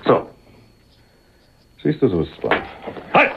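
An actor playing a wry, cool undercover police detective in a radio crime drama, ironic, teasing and matter-of-fact. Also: male voice, medium pitch, huge pitch swings, takes it slowly, gravelly, noisy background, exaggerated voice. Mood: firm. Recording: dull, muffled radio play recording.

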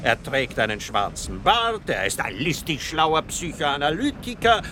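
Condescending, animated psychoanalyst, deliberately paced. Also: male voice, fairly high pitch, a little hiss or hum, full character voice. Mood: amused, assured. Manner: condescending, lecturing, deliberate with rhetorical tags. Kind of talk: German radio drama acting.

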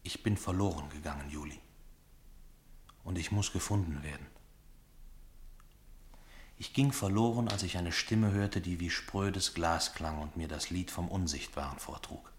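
A low-voiced, brooding homesick soldier. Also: male voice, slight background noise. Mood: earnest, pleading. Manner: solemn, poetic, measured delivery. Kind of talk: German radio play acting.

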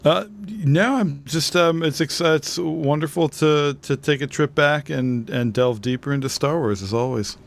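Reflective, halting male podcast co-host. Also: on the high side, gravelly. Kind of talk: casual discussion.

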